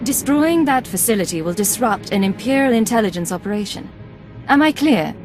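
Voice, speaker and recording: A woman's voice: fairly high pitch, animated, fairly quick, music in the background, close to an everyday voice. An actress playing a cool, blunt female Imperial agent in a video game, curt and commanding.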